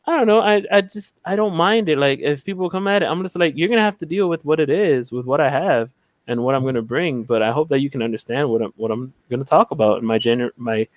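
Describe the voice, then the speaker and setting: A young man from Philadelphia talks on a headset mic from a room at home, his voice sitting a little high for a man. He rambles and circles back, restarting sentences as he goes. The sound is dry and close. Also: fast, dry recording, muffled recording. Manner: rambling, loses his train of thought. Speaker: panel guest. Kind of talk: casual video call chat. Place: home room on a video call.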